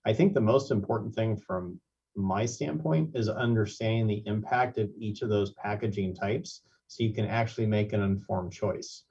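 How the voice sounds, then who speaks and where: A man with a husky, somewhat low voice speaks as a panelist, laying out points in a measured, methodical way. The sound reaches us over a video call, dull and lo-fi. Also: a little mellow. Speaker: industry panelist. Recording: dull lo-fi audio.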